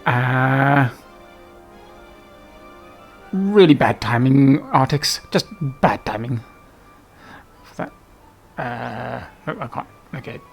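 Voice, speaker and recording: A man's voice: medium pitch, expressive, slow, vocal fry, pauses often, soft background music. A man giving casual, unscripted gameplay commentary in a hesitant, rambling way, often trailing off. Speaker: gaming video host.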